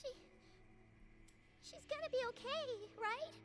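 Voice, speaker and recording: A woman voicing a small, earnest, excitable and affectionate child in a video game. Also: very high-pitched, takes it slowly. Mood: worried. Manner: emotional, wide-eyed, earnest. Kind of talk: dramatic video game voice acting. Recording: echoing, under loud game music.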